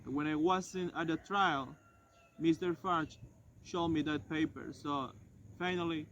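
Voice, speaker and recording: A man playing a regretful French doctor and former prisoner in an amateur dramatized monologue, speaking haltingly and correcting himself. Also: a little high, fairly even intonation, a bit bright. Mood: helpless, regretful. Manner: halting, hesitant, self-correcting delivery. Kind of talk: amateur dramatized monologue retelling past events. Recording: dull lo-fi sound, faint noise.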